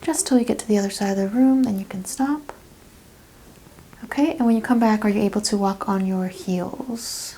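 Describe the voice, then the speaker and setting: A soft-spoken woman doing an ASMR chiropractic roleplay, giving gentle clinical questions and step-by-step instructions, often dropping to a whisper. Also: mid-range voice, normal speed, a little hiss or hum.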